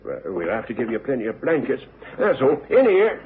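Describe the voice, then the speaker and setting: An actor playing a kindly, worried older English boarding house keeper who stammers hesitantly in a radio drama. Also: male voice, mid-range voice, huge pitch swings, brisk, slight background noise. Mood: friendly, bustling. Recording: tinny old radio broadcast.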